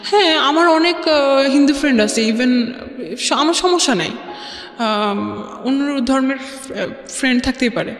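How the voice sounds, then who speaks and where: A woman's voice: sits high, expressive, unhurried, long pauses. Bangladeshi woman storytelling in echoey room. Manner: mixes English words into her talk. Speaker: guest telling her life story. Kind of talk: conversational personal storytelling. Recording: roomy reverberant studio, music underneath.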